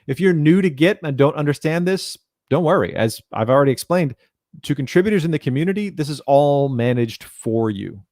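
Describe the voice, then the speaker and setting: A man in his thirties giving an explanatory technical presentation in a measured, instructional way, speaking into a headset. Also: on the high side, animated, darkish tone, dry recording, studio quality. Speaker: presenter, documentation content developer. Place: small indoor room, home video call.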